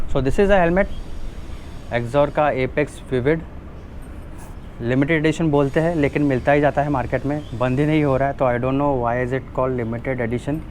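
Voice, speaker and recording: A man's voice: medium pitch, darkish tone. Indian man, casual vlogging over background noise. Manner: informal, switches between Hindi and English. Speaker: motovlogger presenting a product. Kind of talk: casual unboxing and installation walkthrough.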